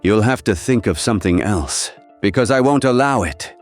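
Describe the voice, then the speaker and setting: Guy doing an arrogant scholarly cartoon villain, lowish voice, very proper and careful. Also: animated, normal speed, soft background music, natural voice. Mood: haughty. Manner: formal, precise, haughty. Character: refined, arrogant male doctor villain, measured and cautious.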